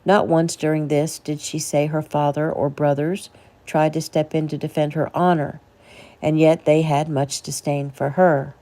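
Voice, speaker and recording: A woman's voice: low voice, little pitch movement, measured pace, warm tone. A woman reading her own novel aloud as a casual audiobook narration.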